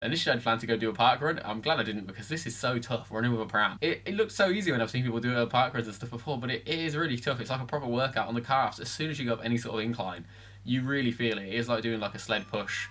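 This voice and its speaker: A man's voice: mid-range voice, rapid-fire, fairly bright tone. A man, chatting casually and matter-of-factly about his week in a training vlog. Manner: chatty, matter-of-fact, filler-heavy. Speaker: vlogger. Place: home room, voice-over.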